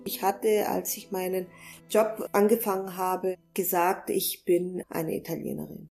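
Mellow-voiced woman giving earnest interview answers. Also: on the low side, level tone, unhurried, creaky, soft background music. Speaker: interviewee, civil rights worker.

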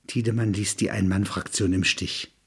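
A man reading scripted literary prose in a measured, sober manner, with a husky, rough-edged voice. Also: low voice, steady tone. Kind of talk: scripted narration of a literary audio piece.

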